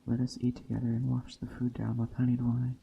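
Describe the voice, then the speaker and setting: A man voicing a wily, seductive witch enchantress in a storybook tale, with soft, coaxing speech. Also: medium pitch, completely flat delivery, brisk, creaky, highs cut off, a little hiss or hum.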